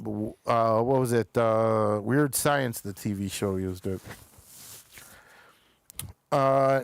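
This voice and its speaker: A man hosting a show, chatting casually through episode reviews in a halting way, pausing often mid-thought. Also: mid-range voice, gravelly, clean tone, no echo, clean studio sound.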